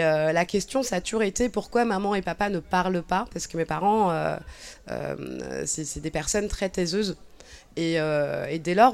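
A woman in her thirties, born in Cabinda, speaking reflectively and hesitantly as an interview guest, with many fillers and restarts. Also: lowish voice. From Cabinda, Angola. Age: 30s. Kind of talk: personal testimony in conversation.

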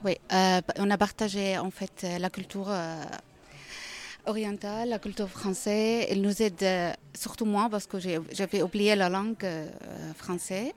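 Woman with a Syrian Arabic accent, halting. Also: medium pitch, fairly even intonation. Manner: halting, searching for words in French. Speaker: interviewee, refugee who cooks. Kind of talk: personal account in an interview.

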